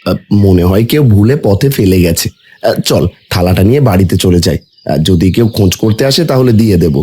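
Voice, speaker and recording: A man voicing a calm, fatherly village dad in a cartoon, hesitating with soft "a" sounds. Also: low-pitched, fairly quick, breathy edge. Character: gentle, tired village father. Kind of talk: Bengali cartoon voice acting.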